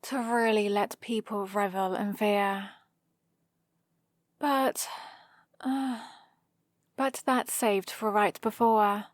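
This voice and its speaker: Woman playing a slow-talking British villainess, sardonic. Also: mid-range voice, fairly even intonation, dry recording, clean studio sound, lightly acted. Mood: proud, evasive. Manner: teasing, sardonic, casually conversational. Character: proud scheming female supervillain, gruffly caring for a rescued hero. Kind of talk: ASMR roleplay, intimate character acting.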